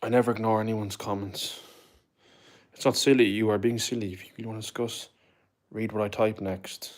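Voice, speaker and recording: A man's voice: fairly low pitch, steady tone, a little fast. A man hosting a casual livestream who answers his chat in a rambling way, calls viewers "bro", and keeps his voice down indoors at home. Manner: keeps his voice down so he won't disturb the landlord. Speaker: livestream host reading chat comments.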